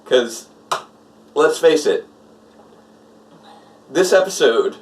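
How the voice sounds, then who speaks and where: A man's voice: on the high side, lively intonation, extremely slow, slight background noise. A man giving casual, off-the-cuff live commentary on a show, hesitantly musing aloud as he goes. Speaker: host of a live reaction show. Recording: distant mic, TV show music behind. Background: television show playing with music.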